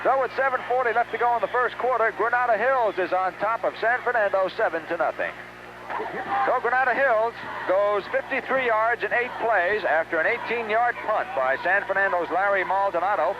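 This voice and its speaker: High-pitched American football announcer, noisy old radio. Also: male voice, normal speed. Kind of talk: live football play-by-play commentary. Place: outdoor high school football game broadcast. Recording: muffled noisy 1970s radio broadcast audio.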